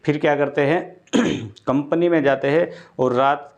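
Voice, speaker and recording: A man's voice: a little high, fairly even intonation, a little slow, vocal fry. Man explaining, slightly dull audio. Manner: repetitive, explanatory, advising. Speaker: exam coaching presenter. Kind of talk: informal exam update talk to students.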